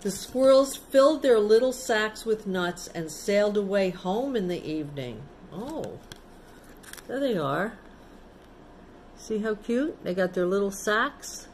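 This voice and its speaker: Slow-paced woman reading a children's story. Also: mid-range voice, darkish tone, a little hiss or hum. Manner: simple explanatory, child-directed. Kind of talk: picture-book read-aloud with casual asides to children.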